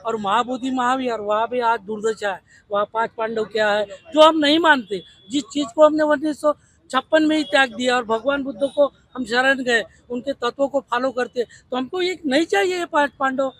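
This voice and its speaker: Forceful high-pitched Maharashtrian man. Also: moderate pace, dry recording. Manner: forceful, insistent, repetitive with rhetorical questions. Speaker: protest leader and party activist. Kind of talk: impassioned protest interview.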